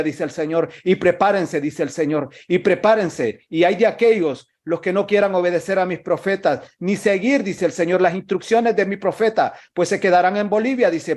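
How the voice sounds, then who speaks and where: A man's voice: high voice, darkish tone. A man preaching a prophecy, declaiming in short phrases and repeating a refrain after nearly every line.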